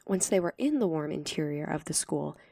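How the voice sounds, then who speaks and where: A woman's voice: deep voice, expressive, fairly quick, gravelly, warm tone, dry recording. A woman reading scripted fiction as an audiobook narrator.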